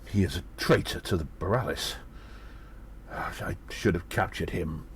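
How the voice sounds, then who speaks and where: A man playing a senior military officer in an audio drama, formal, measured and contrite. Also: low voice, a little hiss or hum. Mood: grave regret.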